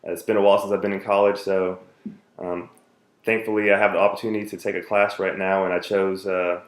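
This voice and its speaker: A man's voice: deep voice, flat delivery, quick pace, reverberant. A man in his thirties, from the United States, giving an earnest self-introduction speech for a class, with many fillers and restarts.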